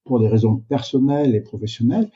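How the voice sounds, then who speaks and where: Older guy, retired doctor type, slow and halting, really dark tone, kinda muffled lo-fi. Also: mid-range voice, animated. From Canada. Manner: slow, reflective, many hesitations. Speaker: guest, doctor. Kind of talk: interview answers. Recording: dull, lo-fi sound.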